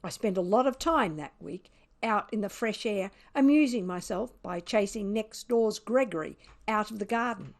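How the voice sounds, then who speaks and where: A woman's voice: medium pitch, normal speed, natural voice. A woman reading a children's storybook as a smug, sardonic, mischievous pet cat, with dramatic, wry emphasis. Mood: gleefully mischievous. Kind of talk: children's storybook read aloud.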